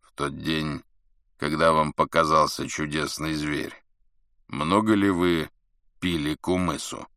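A shrewd khan of a historical tale, played by a male narrator. He is calm, skeptical and commanding, with a very deep voice and measured, deliberate phrasing. The sound is close and dry. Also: dry recording, exaggerated voice.

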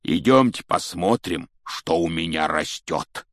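A man acting out a huge, gruff groundskeeper giant from a storybook. He is good-natured and blunt, with folksy, teasing talk in a low-pitched, close and dry voice. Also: expressive, unhurried, no room sound. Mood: cheerful.

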